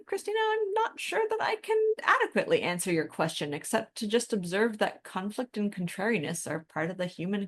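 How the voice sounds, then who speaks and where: A woman co-hosting a podcast, talking through ideas in a casual, analytical and measured way. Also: medium pitch. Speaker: podcast co-host.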